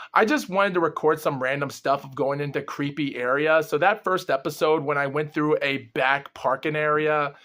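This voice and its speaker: A young man with a groggy, slightly ill voice, hosting his own paranormal exploration vlog and rambling in long run-on sentences. He talks without pausing for breath and sometimes stumbles over his words. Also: fairly high pitch, a bit bright. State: groggy and under the weather. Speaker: host of a paranormal exploration series. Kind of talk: rambling solo vlog recap.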